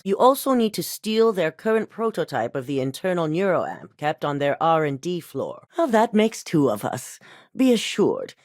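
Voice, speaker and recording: A cool, commanding corporate executive. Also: female voice, low voice, a little mellow, no room sound, studio quality. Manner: clipped, controlled, coolly authoritative. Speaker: game character. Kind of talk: recorded game dialogue lines.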